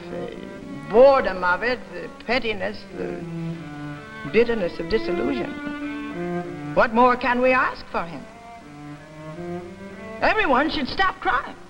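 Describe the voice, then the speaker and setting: A woman voicing a fat older father in a dramatic reading, bitterly defiant, with an emphatic, rhetorical and halting delivery. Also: mid-range voice, a bit bright, low-quality recording. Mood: defiant, strained.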